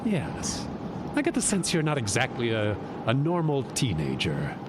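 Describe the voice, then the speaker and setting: An actor playing an amused, urbane Greek god posing as a stranger in an audio drama, drawing out words in a coy, theatrical way. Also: male voice, huge pitch swings. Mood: curious, urbane. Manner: theatrical, drawn-out, coy delivery. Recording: loud outdoor ambience and rumble.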